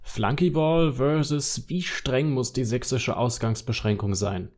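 A questioning commenter played by a man in a radio collage. He has a middle-pitched voice and puts things in a measured, rhetorical way. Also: darkish tone, lightly acted. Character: questioning social media commenter weighing lockdown rules. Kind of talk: scripted radio collage reading.

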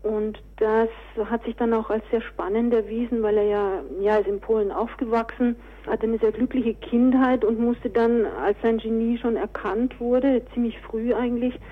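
A woman's voice: fairly high pitch, fairly even intonation, normal speed, few pauses. Woman author chatting thru her answers in an interview, thin phone-like radio sound. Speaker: interview guest, author, director and producer.

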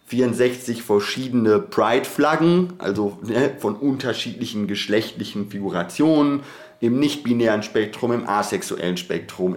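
A man's voice: on the low side, a little mellow, roomy sound. A man speaking off the cuff in a podcast discussion, in an academic, wordy way, often breaking into his own sentences with asides. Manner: academic, wordy, digressive with frequent self-interruptions.

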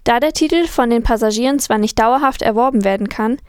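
Higher-pitched woman reading aloud. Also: fairly quick, a little mellow, smooth, no room sound, clean studio sound. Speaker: reader of quotations in a news show. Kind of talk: scripted read-aloud.

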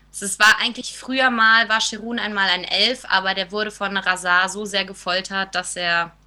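A woman's voice: mid-range voice, bright, forceful tone. A woman performer talking informally in a group interview about a production. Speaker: musical performer.

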